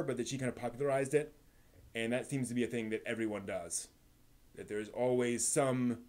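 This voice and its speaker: Man thinking aloud, halting and analytical. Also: medium pitch, a little mellow. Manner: analytical, explanatory. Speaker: live stream host. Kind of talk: casual rambling live stream chat about anime.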